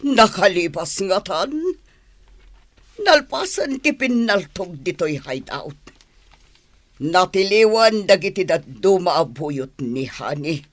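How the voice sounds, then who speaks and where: A man's voice: sits high, expressive, slow, fairly bright tone, no room sound, full character voice. A man with an Ilocano accent playing a nervous fugitive hiding alone in a radio drama, hesitant and stammering. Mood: uneasy musing. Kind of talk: Filipino radio drama acting.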